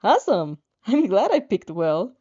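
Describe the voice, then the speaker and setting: Eager, nervous young heroine, played by a woman for an audio story; animated delivery, mellow tone. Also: mid-range voice, unhurried, muffled recording. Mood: cheerful. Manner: gushing, hesitant. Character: cheerful, awkward, eager-to-please young woman.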